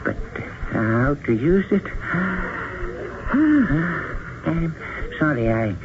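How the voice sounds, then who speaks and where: Desperate, haunted man, swooping pitch. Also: wildly swooping pitch, heavily acted. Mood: dreamy, fervent. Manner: halting, fervent, insistent. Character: desperate, mystical down-on-his-luck man, earnest and haunted. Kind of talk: old-time radio drama acting. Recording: dull, hissy old radio broadcast.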